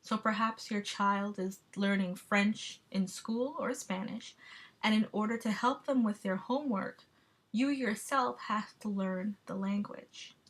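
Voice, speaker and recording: A woman giving a measured, explanatory class presentation, with the odd filler. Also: medium pitch, fairly even intonation, a bit bright. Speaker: student presenter.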